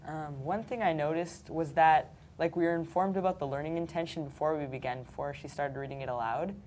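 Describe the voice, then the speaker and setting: A woman's voice: low voice, brisk, a little mellow, a little hiss or hum. A young teen female student in eighth grade speaking casually with many fillers.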